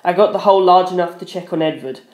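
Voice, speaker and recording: A man's voice: sits high, fast, a little mellow. A man reading a first-person story aloud as a dramatic narrator. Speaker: audiobook-style narrator. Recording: roomy echo.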